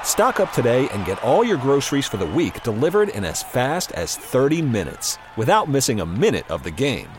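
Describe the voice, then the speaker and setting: A man reading a scripted radio advertisement in an upbeat, smooth manner. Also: medium pitch, big pitch swings, normal speed, hardly any pauses, background noise. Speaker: ad announcer. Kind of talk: scripted ad read.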